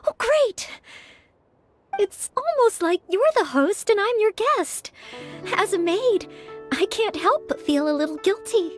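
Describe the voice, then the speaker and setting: An actress voicing a polite, earnest, anxious young maid-knight in an anime-style video game, courteous and a little hesitant. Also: female voice, very high-pitched, lively intonation, unhurried, faint music behind. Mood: curious, earnest.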